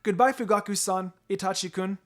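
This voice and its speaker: A man's voice: high voice, steady tone, a little fast, a bit bright, voice pushed up. A man voicing a polite, eager young ninja girl in an earnest, exclaiming manner for a story reading. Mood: flustered.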